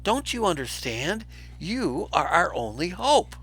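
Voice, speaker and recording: A man's voice: sits high, very animated, measured pace, a bit bright, breathy edge, voice pushed up, heavily acted. A man voicing a grown lioness from a children's storybook, an insistent, pleading and devoted friend. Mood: urgent, reproachful. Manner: insistent, emphatic.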